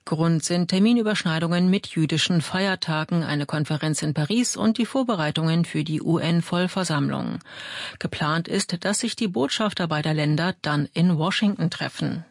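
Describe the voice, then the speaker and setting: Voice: medium pitch, normal speed, creaky, dry recording, clean studio sound. A radio newsreader with a standard German accent, reading a scripted news and weather bulletin. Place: dry broadcast studio.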